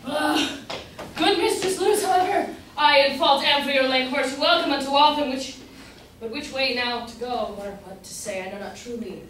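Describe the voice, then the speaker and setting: A woman playing a hapless, pompous gentleman suitor in an amateur staged reading of an old verse comedy, in a florid, declamatory way. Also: high-pitched, normal speed. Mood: courteous, dithering. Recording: echoey room from far off, faint noise.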